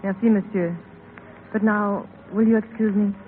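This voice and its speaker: A woman's voice: mid-range voice, fairly even intonation, moderate pace, natural voice. A woman playing an aloof, world-weary, independent singer with a cool, guarded manner in a 1930s radio drama. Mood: cool, polite. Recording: tinny, noisy 1930s radio broadcast.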